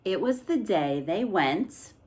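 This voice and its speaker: A woman reading a children's picture book aloud as an expressive bedtime story. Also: medium pitch, takes it slowly.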